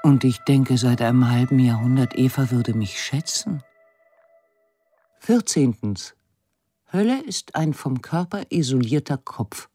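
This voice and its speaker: A woman playing a reflective artist who recalls her life and work in a measured way in an audio drama. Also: extremely low pitch, lively intonation, warm tone, slightly rough, soft background music, exaggerated voice. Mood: reminiscing.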